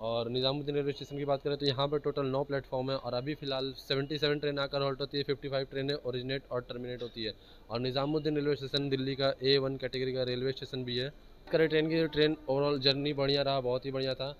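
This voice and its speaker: A man's voice: medium pitch, level tone, fast, lo-fi sound. A young man narrating a casual train travel vlog to his viewers, filmed on a phone at arm's length on platforms and aboard. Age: young adult. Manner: informative, addresses viewers as friends. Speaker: train vlogger. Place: train and railway platforms, indoors and out. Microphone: phone camera held at arm's length. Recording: noisy train and station ambience.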